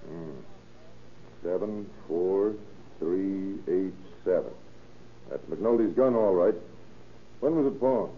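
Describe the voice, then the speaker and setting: Police inspector, low, clipped and in charge, vintage radio crime show, thin noisy sound. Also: male voice, slow, long pauses. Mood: brisk, questioning. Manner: clipped, questioning, authoritative. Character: brisk, shrewd male police inspector, firmly in charge. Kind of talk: 1930s radio crime drama acting. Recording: tinny, noisy old-time radio recording.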